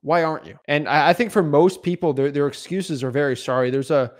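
A man's voice: a little high, brisk. Intense young man ranting to camera. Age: 20s. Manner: intense, repeats himself, frequent "right?" and "like". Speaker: real estate wholesaling coach. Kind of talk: unscripted motivational talk to camera. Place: small home studio, indoors. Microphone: podcast mic on boom arm in front.

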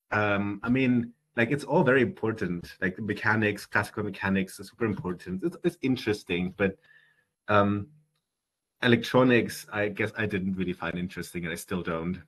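A man's voice: fairly low pitch, husky, rough recording. A young man in his twenties with a German accent, a guest chatting on a video call and hesitating with many fillers. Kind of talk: casual interview answers. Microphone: headset on video call.

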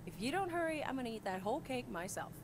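Game mom: affectionate, teasing, lively pitch. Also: female voice, quick pace, slight background noise. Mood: playful. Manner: playful, teasing. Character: warm loving mother teasing her teenage son. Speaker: game character.

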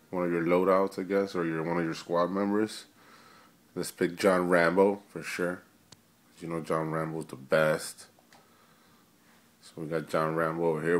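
A man with a deep, low voice talks casually through a video game how-to. His delivery is informal and a little hesitant, as if working it out aloud as he goes. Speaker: amateur gamer making a tutorial. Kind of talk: casual spoken game tutorial. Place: indoors in a room, filming a TV screen.

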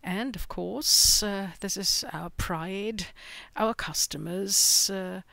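Man, middle-aged, Austrian accent, conference stage talk, halting, smooth voice, dry sound. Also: high voice, bright, forceful tone, dry recording. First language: German. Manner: halting, searching for words, frequent hesitations. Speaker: company product executive presenting at a conference. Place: large indoor event hall stage.

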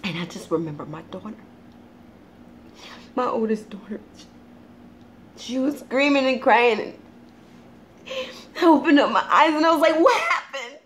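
A woman performing a dramatic monologue as a grieving, unhinged mother tormented by guilt, halting and anguished. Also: on the high side, lively intonation, a little hiss or hum, close to an everyday voice. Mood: distraught.

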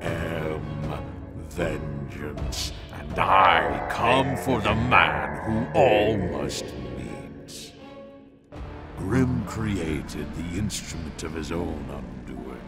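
A man's voice: a bass voice, lively intonation, very slow, over loud music, full character voice. A man playing a cold, grandiose, vengeful wraith in a fantasy audio drama, declaiming ominously and theatrically.

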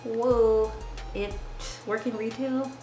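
A woman's voice: a little high, steady tone, takes it slowly. A young woman in her twenties chatting casually and playfully while doing her makeup with a friend. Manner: chatty, playful, laughs often. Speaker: vlogger. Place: small indoor room. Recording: roomy sound with music behind. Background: background music.

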